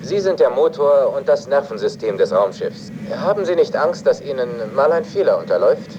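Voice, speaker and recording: A man's voice: mid-range voice, sing-song, a little fast, natural voice. A man playing a polite, formal television interviewer in a dubbed science-fiction film. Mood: courteous, curious. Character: male television interviewer questioning spaceship crew and computer. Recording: dull film sound with clear background noise.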